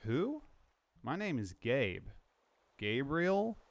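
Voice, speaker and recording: A man's voice: medium pitch, very animated, extremely slow, dry recording, heavily acted. A man playing a bewildered, casual young helper in an audio drama, skeptical in manner.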